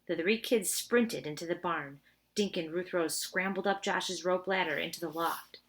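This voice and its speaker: Low-voiced woman reading a children's story. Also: moderate pace. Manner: expressive, informal asides to listeners. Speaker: teacher reading aloud. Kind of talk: children's storybook read-aloud.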